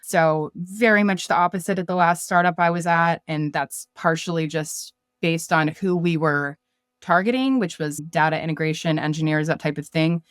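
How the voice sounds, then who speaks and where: A woman's voice: deep voice, moderate pace, smooth, no echo, studio quality. A woman speaking as a podcast guest in casual business chat, often voicing imagined quotes as she explains. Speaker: podcast guest, startup marketer.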